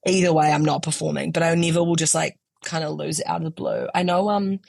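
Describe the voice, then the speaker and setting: A woman's voice: deep voice, lively intonation, fast talker, vocal fry, a little mellow, no room sound, studio quality. A young adult woman comedian with a New Zealand accent, chatting in a rambling, filler-heavy way on a podcast. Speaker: comedian guest. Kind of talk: casual podcast chat.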